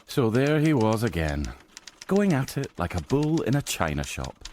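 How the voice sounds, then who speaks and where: An actor playing an eager, deferential British police sergeant in a radio drama, earnest and easily distracted. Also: male voice, mid-range voice, big pitch swings, normal speed, darkish tone, a little hiss or hum, close to an everyday voice. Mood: wry. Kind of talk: radio drama acting with narration.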